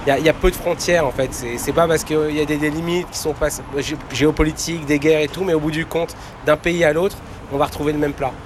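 A man in his forties hesitates and restarts as he talks on a city street. His pitch is mid, and faint traffic noise hums behind him. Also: fairly even intonation, fast talker, hardly any pauses. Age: 40s. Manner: hesitant, many fillers and restarts. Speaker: passer-by.

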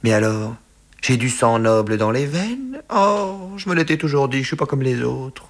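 A naive and romantic young fellow put on by a man reading a novel, emotional and exclamatory. His voice rides high above the narration, easily overcome by feeling. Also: unhurried. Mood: overjoyed, tearful.

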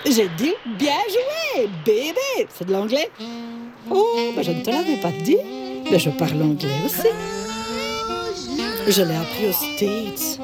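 A woman voicing a chatty, boastful female saxophone in a cartoon, animated and full of exclamations. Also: on the high side, slow pace, pauses often. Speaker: talking instrument telling her history. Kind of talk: cartoon voice acting. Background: background music.

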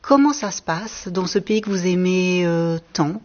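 A woman interviewer asking gentle, probing questions. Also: fairly low pitch, animated, unhurried, lo-fi sound.

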